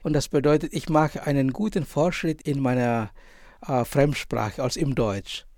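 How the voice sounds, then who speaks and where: A man in his forties from Indonesia, a priest and author, answering interview questions in German with an Indonesian accent, pausing often and searching for words. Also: mid-range voice, a little mellow, no room sound, clean studio sound. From Indonesia, island of Sumba. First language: Indonesian language. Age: 40s. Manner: halting, many pauses and fillers, searching for words.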